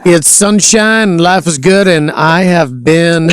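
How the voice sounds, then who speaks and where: A man's voice: a little high, takes it slowly, clear, resonant tone, dry recording, clean studio sound. A man hosting a casual interview show, upbeat and hearty, hyping up his guest. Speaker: show host.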